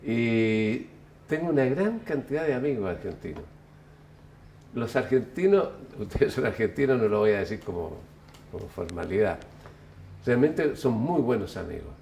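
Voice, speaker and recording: An older man from Chile answers an interviewer at an easy, unhurried pace. His voice sits at an everyday pitch, and his telling is reflective and musing, with frequent self-corrections, under a faint hiss. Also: big pitch swings, creaky, dark tone. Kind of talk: relaxed reflective storytelling.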